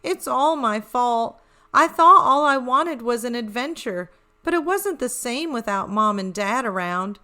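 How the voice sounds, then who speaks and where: A woman's voice: on the high side, animated, measured pace, bright, forceful tone. A woman voicing a young female beagle, a curious, earnest and emotive storybook heroine. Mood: tearful, sorry.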